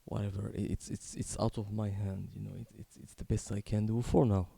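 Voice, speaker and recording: A man in his twenties from Morocco tells a personal story unscripted, in a halting, candid, casually sweary way. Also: on the low side, level tone, gravelly, mellow, slightly rough. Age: 20s. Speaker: video creator telling his life story.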